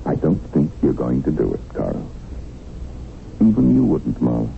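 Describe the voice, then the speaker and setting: A world-weary American business magnate held hostage in a 1970s radio drama. His very low voice is creaky and theatrical, musing with dry irony. The sound is thin and boxy, like an old broadcast with some hiss. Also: big pitch swings, moderate pace, phone-quality sound, heavily acted. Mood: needling, wry. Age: middle-aged. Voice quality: gravelly. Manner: wry, ruminative, theatrical. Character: captive middle-aged male tycoon, world-weary, sardonic, reflective.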